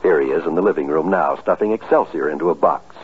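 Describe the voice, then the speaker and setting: A man's voice: extremely low pitch, fast talker, a little hiss or hum. A man announcing in a formal, earnest, oratorical 1950s radio style from a script. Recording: tinny, phone-like old radio recording.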